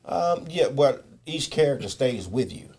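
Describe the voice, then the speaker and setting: A man speaking as an actor in an interview, earnest and reflective, in a measured, halting way. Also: medium pitch, sing-song, a little mellow, slight background noise. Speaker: stage actor being interviewed.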